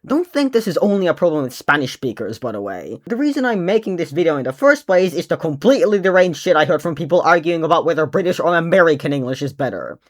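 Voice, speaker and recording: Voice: medium pitch, very animated, fast talker, few pauses. A young adult native Finnish speaker talking in a casual vlog, blunt and sarcastic, swearing now and then while ranting. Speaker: video creator.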